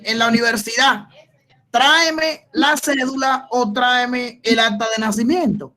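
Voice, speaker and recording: A man with a Dominican accent gives an informal, halting explanation as a student in an online class, often repeating and rephrasing. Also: extremely high pitch, fairly bright tone. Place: video conference call.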